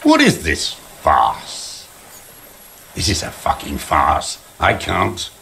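An actor playing an embittered, unstable old deserter in a video game, with a strained, creaky voice and agitated, erratic delivery. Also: male voice, deep voice, huge pitch swings, slow pace, pushed and bright, slight background noise, full character voice. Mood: bewildered anger. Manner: agitated, erratic, swinging between rage and despair.

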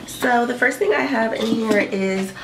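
A woman's voice: on the high side, measured pace, noisy background. A young woman chatting casually to camera in her bedroom, a bit stuffy and sniffly from allergies, chatty and upbeat with lots of "like" and "um". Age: young adult. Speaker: beauty and fashion vlogger. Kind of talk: casual what's-in-my-bag chat. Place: bedroom indoors. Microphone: camera in front at desk distance. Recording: roomy bedroom echo, mic a bit far.

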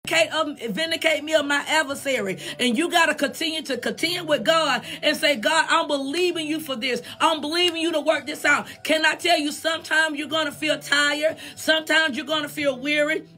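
An American woman delivers a sermon in a high-pitched voice with a sharp, bright edge. She is emphatic and exhorting, pressing her points home with repeated refrains. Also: a little fast, few pauses. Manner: emphatic, urging, repetitive refrains. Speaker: preacher.

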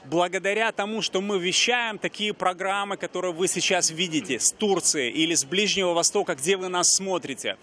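A man's voice: high-pitched, a little fast, few pauses, bright, forceful tone, slight background noise. A man in his forties hosting an outdoor street interview, talking earnestly with many fillers and restarts into a handheld mic. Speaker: TV host. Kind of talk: street interview, ministry talk. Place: busy pedestrian street outdoors.

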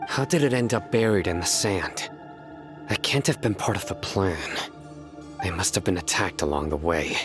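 An actor playing a stern, watchful desert guardian in a video game, with a terse, serious delivery. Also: male voice, low voice, normal speed, music in the background, natural voice.

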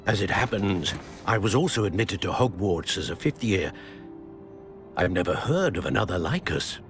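An actor playing a measured, formal wizard mentor in a fantasy game cutscene. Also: male voice, low voice, lively intonation, fairly bright tone, faint music behind. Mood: welcoming. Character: measured, mentoring male wizard professor in a period setting. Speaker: professor. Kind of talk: dramatic game cutscene dialogue.

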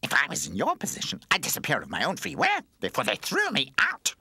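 A man voicing a spiteful baby doll girl with a shrill, shrieking baby voice. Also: huge pitch swings, fast, gravelly, pushed and bright, breathy edge, no echo, exaggerated voice. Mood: triumphant.

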